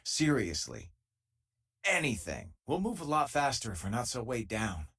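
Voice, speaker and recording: Wisecracking space companion in a video game, easygoing and wry, pitch jumps around a lot, bit husky. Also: male voice, big pitch swings, moderate pace, fairly bright tone, exaggerated voice. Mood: amused, casual. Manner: casual, wry, conversational. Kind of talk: video game voice acting, conversational companion lines and combat callouts.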